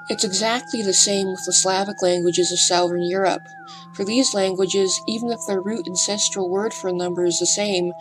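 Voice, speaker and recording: A woman reading scripted documentary narration in a careful, slightly hesitant and breathy way. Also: on the low side, poor audio. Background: music.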